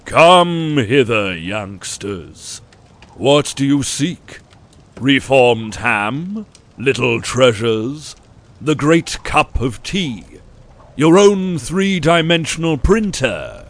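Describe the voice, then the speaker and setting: A man voicing a towering, sunflower-like male elder who speaks in grand, coaxing proclamations with a croaking edge. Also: big pitch swings, extremely slow, fairly bright tone, exaggerated voice. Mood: beckoning. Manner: booming, theatrical proclamations. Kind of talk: storybook reading.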